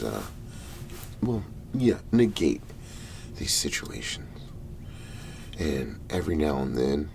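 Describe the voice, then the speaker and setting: Male performer as government negotiator, ASMR roleplay. Extremely low, gravelly murmur, hesitant and placating. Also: a little hiss or hum, heavily acted. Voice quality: soft, breathy half-whisper with gravelly low murmur. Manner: halting, deliberate, reassuring, with long pauses.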